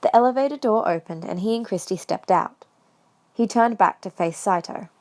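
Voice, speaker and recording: Woman narrating a fanfic audio drama, scripted read, medium pitch. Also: normal speed, a little mellow.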